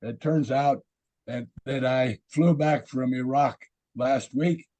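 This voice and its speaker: Hoarse raspy guy on a video call, talks real slow, kinda mellow dark tone. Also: mid-range voice. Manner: halting, many fillers and restarts. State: hoarse from illness. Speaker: presenter. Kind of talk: webinar presentation.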